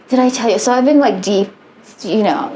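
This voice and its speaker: A woman's voice: a little high, moderate pace, mellow, slight background noise. A woman in her late twenties co-hosting a podcast in casual chat, rambling and swearing freely and trailing off mid-sentence. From United States. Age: 20s. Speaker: podcast co-host.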